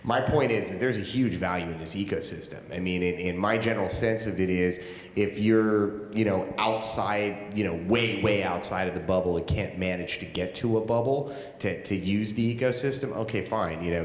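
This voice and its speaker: A man speaking on a startup panel, blunt and emphatic, leaning on lots of "you know" fillers. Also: sits low. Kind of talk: panel discussion. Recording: echoey hall, long reverb.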